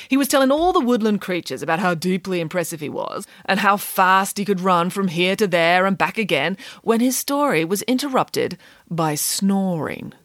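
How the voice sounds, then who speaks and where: A woman's voice: mid-range voice, a bit bright, no room sound, clean studio sound, voice pushed up, lightly acted. A woman voicing a glamorous, boastful pig nanny in an audiobook, grandly and emphatically explaining nonsense as fact. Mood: grand storytelling. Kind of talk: audiobook character voice.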